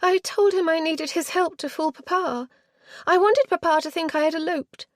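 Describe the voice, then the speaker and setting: A woman voicing a petulant, self-centred young Regency heiress who talks in a high, sulky, easily wounded way. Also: moderate pace, dry recording, studio quality. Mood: tearful. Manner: sulky, high-strung, rising to tearful.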